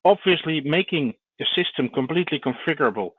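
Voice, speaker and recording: A man presenting a webinar over a video call, speaking in a measured way with frequent hesitant pauses. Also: on the high side, expressive, husky, no room sound. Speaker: company founder presenting a webinar. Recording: thin phone-like online call, dry.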